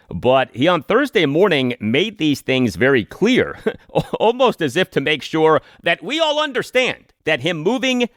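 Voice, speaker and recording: A man hosting a sports podcast, speaking emphatically in commentary and reads, deliberately repeating his phrases. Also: medium pitch, a bit bright, no echo, studio quality.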